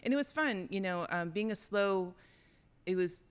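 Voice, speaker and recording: Smooth-voiced woman, lively storyteller. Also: medium pitch, moderate pace. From Los Angeles, California. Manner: animated storyteller, acts out quoted voices. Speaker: retired police detective, guest. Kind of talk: casual interview chat.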